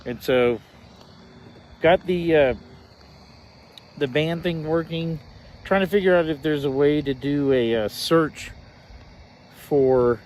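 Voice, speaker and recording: Guy doing a casual hobby demo, kinda halting and thinking out loud, bit of noisy ambience. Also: on the high side. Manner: hesitant, thinking aloud. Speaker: hobbyist reviewer demonstrating a shortwave radio. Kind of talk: casual hands-on demonstration.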